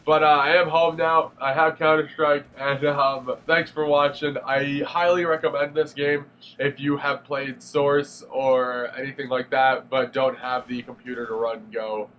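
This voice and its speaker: A young man with a cold talks through a game review in a loose, rambling way, voice stuffy and nasal from congestion. He sits at a middle pitch, stumbling through fillers and false starts. Faint noise hums under the recording. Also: level tone, a little slow, dull audio. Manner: rambling, frequent fillers and restarts. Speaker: gaming video reviewer. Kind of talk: casual spoken game review.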